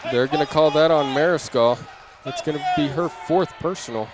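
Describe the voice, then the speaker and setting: A man's voice: a little high, moderate pace. A man calling live basketball play-by-play in clipped running phrases, following each move as it happens. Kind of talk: live radio-style play-by-play commentary. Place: indoor basketball gym during a game. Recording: crowd and gym noise behind. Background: crowd, bouncing basketball.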